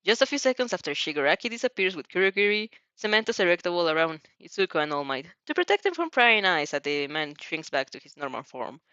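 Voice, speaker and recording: A woman reading a story aloud in an expressive, amused way, sometimes stumbling and rereading phrases. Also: fairly low pitch, quick pace, few pauses, no room sound, dull audio. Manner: giggly, stumbles over phrases and rereads them. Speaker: fan fiction reader reacting as she reads.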